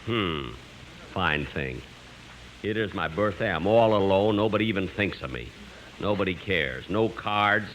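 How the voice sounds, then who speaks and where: A lonely, self-pitying grumbler in a 1950s radio comedy. His low voice moves expressively through short, mournful mutters. The old recording sounds dull. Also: expressive, unhurried, heavy background noise. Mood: sulky self-pity.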